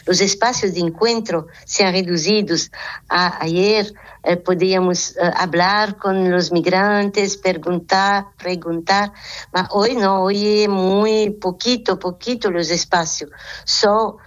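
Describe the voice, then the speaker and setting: A woman, a nun whose first language is Portuguese, speaking Spanish with a Portuguese accent in a halting phone interview, often searching for words. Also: mid-range voice, steady tone, dull audio.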